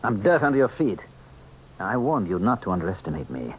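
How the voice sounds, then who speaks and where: Urbane demon, mocking and polite, very lively intonation, old radio drama, thin phone-like sound with light hiss. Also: male voice, on the low side, fairly quick. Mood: suave, sardonic. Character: suave, sardonic minor demon posing as a man. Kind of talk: radio mystery drama acting. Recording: muffled, band-limited old radio broadcast with noise.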